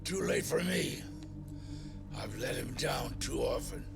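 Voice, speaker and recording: An actor playing a defiant, sneering crime boss in a video game cutscene, taunting, profane and theatrical. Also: male voice, mid-range voice, wildly swooping pitch, slow pace, gravelly, heavily acted. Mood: manic despair. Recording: music behind the voice.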